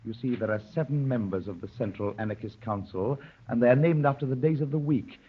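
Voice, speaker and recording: A man's voice: medium pitch, fairly quick, slightly rough, natural voice. A man playing a proud, intense anarchist poet, speaking with formal, declamatory self-importance in the manner of 1930s radio drama. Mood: fervent, confiding. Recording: muffled, worn 1930s radio recording.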